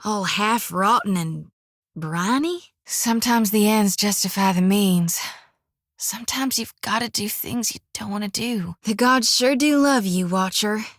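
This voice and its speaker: A woman's voice: mid-range voice, a little slow, no echo, lightly acted. A plainspoken young priestess with a drawl, voiced by a woman for a video game, earnest with a wry edge. She drops "reckon" and "ain't" freely in a close, dry studio take. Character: folksy young woman priest carrying a soul lantern. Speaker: game companion character. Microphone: close studio mic.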